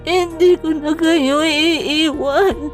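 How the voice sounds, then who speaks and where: An anguished high-voiced grieving mother. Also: fairly even intonation, soft background music. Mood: anguished grief. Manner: tearful, drawn-out, emotional delivery. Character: grieving mother, overseas worker, anguished and bitter. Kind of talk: Tagalog radio drama acting.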